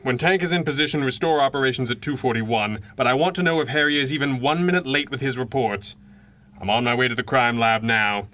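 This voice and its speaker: A man playing a stern crime-fighting hero who gives brisk, authoritative orders in a radio drama. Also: medium pitch, sharp tone, natural voice. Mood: commanding. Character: commanding masked male crime-fighting hero, stern and clipped. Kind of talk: 1930s-style radio drama acting.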